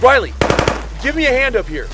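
A man's voice: extremely high pitch, very animated, fairly quick, heavy background noise. A man playing a gung-ho squad leader of zombie survivors in an audio drama. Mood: rallying. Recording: loud music and gunfire behind.

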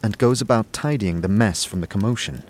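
A man's voice: fairly low pitch, a little fast, darkish tone, a little hiss or hum. A man narrating a scripted second-person story for an audio drama.

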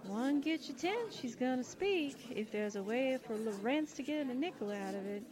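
Woman as a high-voiced, brash girl reporter. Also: lively intonation, normal speed, a crowd in the background. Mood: wry, wisecracking. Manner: slangy, naive, chatty. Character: brash, wisecracking young newspaperwoman working undercover. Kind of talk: 1940s radio drama acting.

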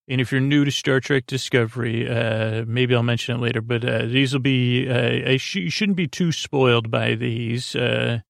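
A man hosting a bedtime podcast in a rambling, unscripted way, halting and repeating himself, with a goofy, whimsical, self-deprecating manner. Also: mid-range voice, steady tone, gravelly, dry recording, studio quality. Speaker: podcast host.

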